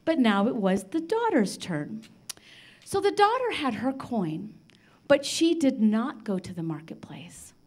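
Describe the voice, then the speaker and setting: An older woman telling a children's story live in an expressive, theatrical manner with dramatic pauses. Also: fairly high pitch. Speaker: storyteller on a children's radio show. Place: large library room, live before a seated audience. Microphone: handheld microphone.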